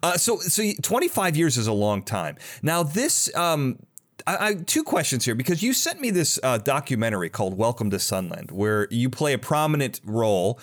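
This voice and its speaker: A man hosting a casual interview, talking in a halting, rambling way and often interrupting himself. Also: medium pitch, big pitch swings. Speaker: podcast host interviewer.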